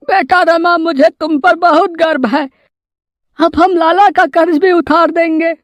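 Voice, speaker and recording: A woman's voice: extremely high pitch, steady tone, dry recording, pitched above the performer's own voice. A woman voicing an anxious poor farmer, a pleading father in a cartoon moral story. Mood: proud. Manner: pleading, worried, drawn-out.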